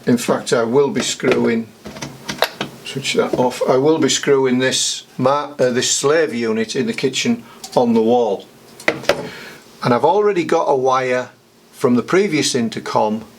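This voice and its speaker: A man's voice: mid-range voice, a little hiss or hum. A man giving a casual how-to demonstration, explaining each step in a matter-of-fact way. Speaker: DIY demonstrator.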